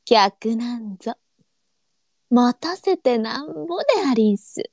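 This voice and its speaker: A woman playing a gentle, unflappable senior courtesan in a period audio drama, teasing and speaking in archaic courtesan dialect. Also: on the high side, dry recording, studio quality, exaggerated voice. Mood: playful, sly.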